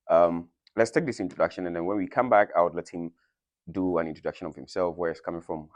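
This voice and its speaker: A young man with a very low, deep voice hosts a casual interview from a dry, close-miked room. He talks hesitantly, filling gaps with ums and restarting phrases as he leads the conversation. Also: darkish tone, no room sound. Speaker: host.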